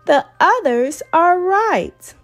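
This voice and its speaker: A woman voicing a determined, dreamy little girl chicken in a storybook, childlike and earnest with drawn-out words. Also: extremely high pitch, sing-song, soft background music, pitched above the performer's own voice, heavily acted. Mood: discouraged.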